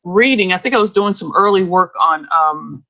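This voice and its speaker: A middle-aged woman historian speaking as a guest on a video call, telling stories in an animated way that often breaks into laughter. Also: on the low side, moderate pace. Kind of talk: casual interview chat. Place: home room with bookshelves, indoors.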